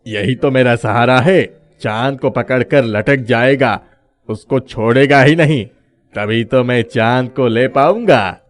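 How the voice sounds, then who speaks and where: A man acts out a sly, boastful thief who pretends to be mad. He speaks very slowly at a middling male pitch, in the manner of a storybook villain. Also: close to an everyday voice. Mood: dreamy, sly. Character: cunning, boastful male thief posing as a madman. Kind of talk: animated moral story dubbing.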